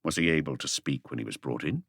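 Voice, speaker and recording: A man voicing a calm, courteous chief detective in an audiobook, speaking in a measured, polite way. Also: no echo, clean studio sound, exaggerated voice. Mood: quietly concerned. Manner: measured, low-key, polite.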